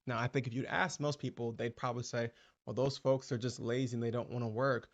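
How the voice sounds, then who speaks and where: A male host giving commentary in a measured, deliberate, persuasive way. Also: medium pitch, level tone, highs cut off.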